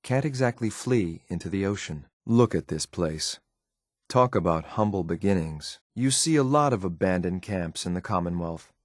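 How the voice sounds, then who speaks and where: A low, close and dry male voice for a video game synth enforcer. He speaks in clipped, controlled phrases, coolly watchful and faintly disdainful of what is around him. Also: animated, normal speed, no echo. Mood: cool, wary. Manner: clipped, matter-of-fact, controlled, faintly condescending. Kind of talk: video game companion voice lines.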